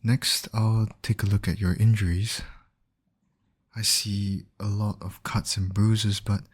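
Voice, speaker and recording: A man acting as a calm, caring medic in an ASMR roleplay, gently reassuring a patient and explaining each step. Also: fairly low pitch, slow pace, breathy edge. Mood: gentle, businesslike. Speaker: voice actor in roleplay audio.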